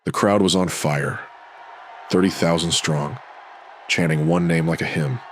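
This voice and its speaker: A man narrating a horror anthology in an ominous, measured pulp radio-drama style, in short dramatic phrases. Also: low-pitched, a little hiss or hum. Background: music.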